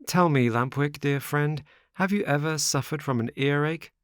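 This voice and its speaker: A man's voice: on the high side, animated, normal speed, vocal fry, dry recording, clean studio sound, voice pushed up. A man voicing an impulsive young boy marionette in a storybook, exclamatory and wheedlingly polite. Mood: sly, wheedling. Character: boy marionette, impulsive, remorseful, sly and eager.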